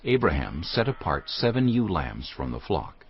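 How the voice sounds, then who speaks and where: A man narrating a dramatized Bible as a scripted story, solemn and clearly phrased. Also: low-pitched, sing-song, normal speed, highs cut off.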